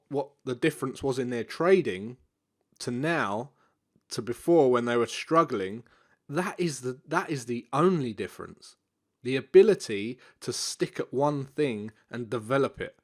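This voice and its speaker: A man talks through trading charts in a loose, unscripted way, often stopping to repeat himself. His voice sounds congested and bunged up, as if he has a cold. The pitch sits in a middle range for a man. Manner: relaxed, repeats himself, many fillers. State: congested, stuffy nose. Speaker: forex trading educator. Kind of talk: live market analysis walkthrough.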